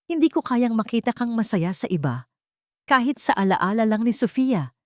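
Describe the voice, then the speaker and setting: Woman acting a desperate obsessive villainess, raised pitch. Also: big pitch swings, normal speed, a little mellow, dry recording, studio quality. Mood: desperate pleading. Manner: pleading, impassioned. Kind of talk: audio drama character reading.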